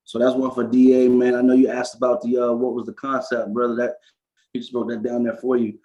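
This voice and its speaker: A man's voice: mid-range voice, monotone, fairly quick, warm tone. A man from Florida hosting a podcast interview, rambling through his questions with lots of restarts and frequent "facts" in agreement. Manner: rambling, many restarts, says "facts" a lot. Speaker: podcast host. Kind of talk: casual interview talk. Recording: dull lo-fi sound.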